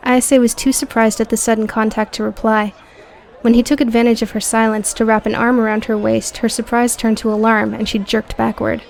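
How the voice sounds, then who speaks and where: A woman's voice: on the high side, little pitch movement, brisk, gravelly, darkish tone, rough recording. A woman reading scripted audio book narration as the narrator.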